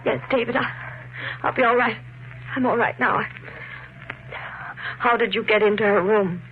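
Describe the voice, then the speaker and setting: A woman's voice: fairly high pitch, normal speed, close to an everyday voice. Through a thin, muffled vintage radio recording with faint noise comes an actress as an anxious wife. She is earnest and doubting, in the melodramatic style of 1950s thrillers. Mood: shaken, doubtful.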